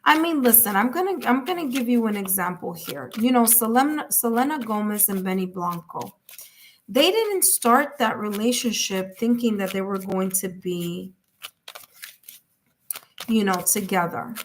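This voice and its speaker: A woman's voice: mid-range voice, expressive, moderate pace, a little hiss or hum, rough recording. A woman with a Puerto Rican accent giving a live tarot reading in a chatty way, full of fillers and repetition. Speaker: tarot reader.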